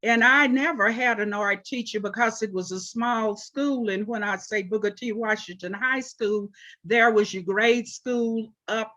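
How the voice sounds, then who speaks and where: A woman in her seventies, originally from Oklahoma, answering interview questions about her life in an unhurried way with frequent pauses. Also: on the high side, sharp tone, muffled recording. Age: 70s. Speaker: interview guest, artist.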